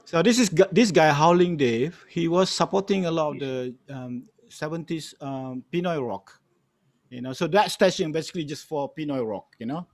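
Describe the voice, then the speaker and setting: Higher-voiced middle-aged Malaysian man telling stories. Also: normal speed, dry recording. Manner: animated, emphatic, repeats phrases, peppers talk with "you know". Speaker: guest storyteller and music archivist. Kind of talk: casual anecdotal storytelling on a panel.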